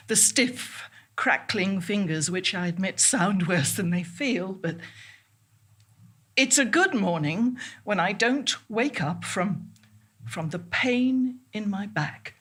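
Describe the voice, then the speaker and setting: A woman playing an ailing, distracted woman in a theatrical monologue, musing obsessively in halting, repetitive phrases that trail off. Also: mid-range voice, fairly bright tone, husky, exaggerated voice. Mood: pained, weary. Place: theatre stage.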